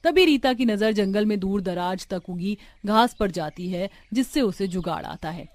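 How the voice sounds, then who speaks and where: A woman's voice: mid-range voice, animated, normal speed, darkish tone. A woman reading scripted narration for a cartoon village family story.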